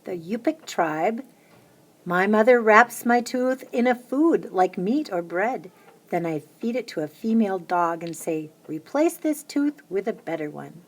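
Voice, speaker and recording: Brief: adult woman, children's book reader, lively storytime delivery, mid-range voice. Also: a little slow. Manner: lively, lifts her voice high for the children's chants. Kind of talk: storybook read-aloud.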